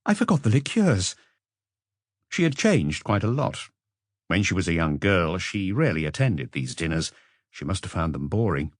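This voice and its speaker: Man, audiobook narrator, deep voice, animated intonation, dry close recording. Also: normal speed, no echo. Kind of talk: scripted narration of a mystery novel.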